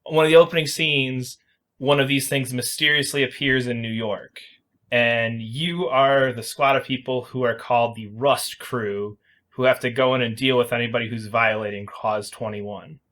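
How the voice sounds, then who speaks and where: A man's voice: mid-range voice, steady tone, moderate pace, fairly bright tone. A man hosting a casual podcast, explaining and reviewing video games in an informal, emphatic way. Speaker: podcast host.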